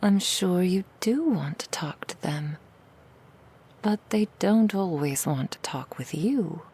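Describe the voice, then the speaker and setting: A woman voicing a seductive, cruel female demon in an ASMR roleplay, in a slow, breathy whisper full of mocking, intimate taunts. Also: fairly low pitch, lively intonation, dark tone, full character voice. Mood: cruel taunting.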